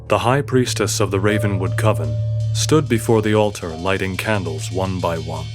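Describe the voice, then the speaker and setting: A man's voice: sits low, normal speed. A man reading fiction as an audiobook narrator, with a dramatic storytelling delivery. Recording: music under the voice. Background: music.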